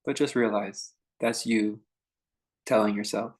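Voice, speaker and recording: A man guiding a meditation in an informal, conversational way, speaking in short phrases with unhurried pauses between them. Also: lowish voice, steady tone. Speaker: meditation teacher leading a guided meditation. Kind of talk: unscripted guided meditation talk.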